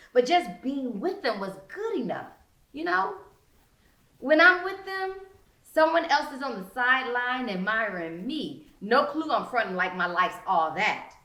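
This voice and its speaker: Bright, expressive woman reading a story dramatically. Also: medium pitch. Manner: expressive, theatrical, in a young teen narrator's persona. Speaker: author reading own novel. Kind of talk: dramatic first-person story narration. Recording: roomy, echoing sound.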